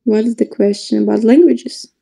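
Twenties woman, a bit high and mellow, chatty on a webcam call. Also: normal speed, vocal fry. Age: 20s. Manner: chatty, laughs at her own mix-ups. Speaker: video chat stranger. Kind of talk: casual chat. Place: room indoors.

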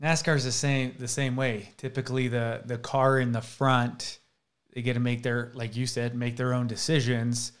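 Brief: man in his thirties, excitable interview host, stumbles and restarts, desk mic. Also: mid-range voice, moderate pace. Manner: enthusiastic, self-deprecating, many restarts. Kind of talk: casual interview chat. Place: indoor studio room with posters. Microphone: desk podcast mic, seen.

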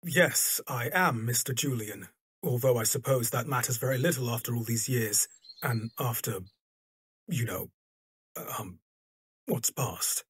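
A man playing an awkward, polite young gentleman in an audio drama, speaking hesitantly. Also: mid-range voice, measured pace, long pauses, a little hiss or hum, lightly acted.

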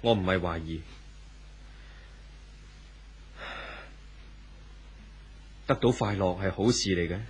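An actor playing a frank, troubled lover in a 1970s radio drama, firm and reasoning, with a Hong Kong Cantonese accent. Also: male voice, low-pitched, quick pace, a little hiss or hum, close to an everyday voice. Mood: challenging. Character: frank, troubled lover confronting a married man.

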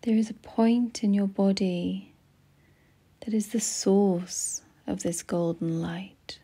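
Soft, breathy woman guiding meditation very slowly. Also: mid-range voice, steady tone. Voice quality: soft, breathy, often near a whisper. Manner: gentle, soothing, unhurried with long pauses. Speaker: guided meditation narrator. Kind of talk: slow guided meditation narration.

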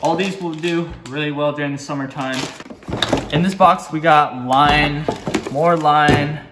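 A teenage boy hosting a fishing channel, showing off his gear in a relaxed, chatty way with plenty of fillers, filmed by a table camera in a small indoor gear room. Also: a little high. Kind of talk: casual show-and-tell vlog. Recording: echoey room with noise.

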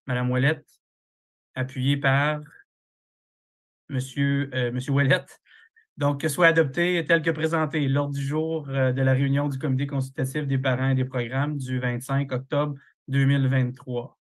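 A man's voice: mid-range voice, level tone. A man chairs a formal meeting over a video call, moving through procedure with frequent fillers. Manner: procedural, halting with many fillers.

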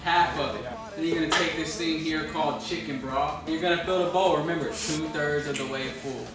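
A young man with a fairly high voice walks through a cooking step in a folksy, repetitive, joking way. He sounds distant in a very echoey kitchen, with music clearly audible behind him. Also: steady tone, a little fast. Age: 20s. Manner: folksy, repeats his instructions. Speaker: home cook demonstrating a step. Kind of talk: casual, joking cooking demonstration. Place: home kitchen, indoors. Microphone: camera across the counter.

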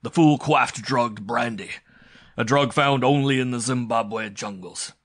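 A man's voice: mid-range voice, normal speed, fairly bright tone, dry recording, studio quality. A man voicing a brutal, scheming male villain in a throaty, guttural, boastful way for an adventure story. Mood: gloating. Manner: boastful, menacing.

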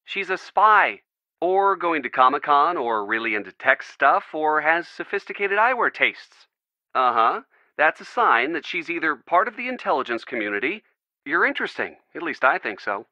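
A loyal young American boyfriend in a video game. His voice is very bright and forward, on the higher side, with very lively intonation. He is caring and earnest, with a gentle joking streak. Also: moderate pace, no room sound, lo-fi sound, heavily acted. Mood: playful, fond. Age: young adult. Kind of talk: video game dialogue, phone conversation.

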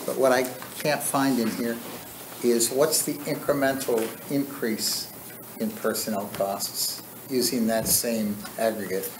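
An older man asking questions as a representative at a formal public meeting. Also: mid-range voice. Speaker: county representative. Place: large indoor meeting room. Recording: clear background room noise.

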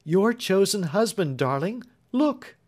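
A man voicing a calm, gentle young woman who speaks softly and tenderly. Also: high-pitched, slow pace, voice pushed up, exaggerated voice.

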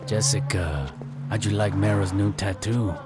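Game gang boss male actor: low, gruff, sardonic and ruthless, with loud city noise behind. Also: unhurried, lightly acted. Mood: menacing. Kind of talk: video game cutscene acting.

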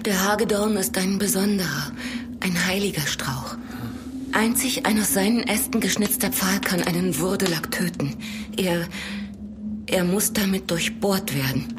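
Woman playing a fearful, hushed young village woman. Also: mid-range voice, a little slow, background noise, natural voice. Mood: resigned, solemn. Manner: hushed, sorrowful, resigned. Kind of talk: German radio horror drama acting.

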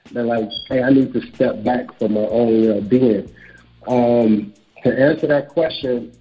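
A man in his thirties from Chicago, an author and real estate broker, speaking candidly and reflectively in a casual interview. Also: mid-range voice. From Chicago, USA. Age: 30s. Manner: candid, reflective, speeds up when quoting himself. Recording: dull lo-fi audio with faint music.

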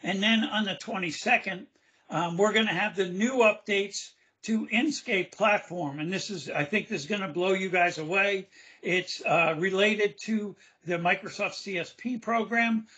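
Bright-voiced man presenting a webinar. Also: high-pitched, normal speed. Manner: loose and chatty, with fillers. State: losing his voice, hoarse. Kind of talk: casual screen-share demo. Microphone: video call.

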